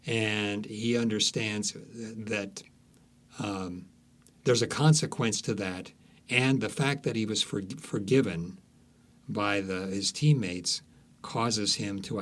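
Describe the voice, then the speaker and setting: Low-pitched man telling stories, haltingly. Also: fairly bright tone. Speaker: author interviewed as guest. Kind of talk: conversational interview storytelling.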